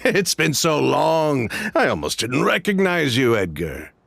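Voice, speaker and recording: A man's voice: on the high side, wildly swooping pitch, creaky, fairly bright tone, a little hiss or hum, exaggerated voice. An actor playing a jovial, sly perfume merchant in a game, who chuckles and stretches his words. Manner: chuckling, drawn-out, theatrical. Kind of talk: game voice acting. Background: game background music.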